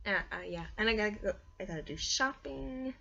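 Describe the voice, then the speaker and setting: A woman chatting casually and ramblingly to her viewers, with an animated, dramatic storytelling manner. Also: medium pitch, brisk, vocal fry, a little hiss or hum. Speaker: vlogger and hobby story writer. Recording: dull lo-fi home recording.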